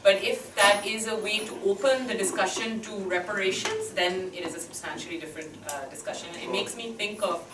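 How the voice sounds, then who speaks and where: A woman's voice: medium pitch, fairly quick, hardly any pauses, music in the background. A woman speaking as a panelist in an academic discussion, thoughtful and questioning. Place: university seminar room. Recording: echoey room, distant mic, background hiss.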